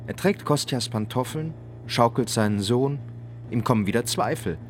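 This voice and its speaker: An actor as the sober narrator of a radio crime drama, delivering scripted lines in a measured, terse way. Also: male voice, mid-range voice, faint music behind, lightly acted. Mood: grave, measured.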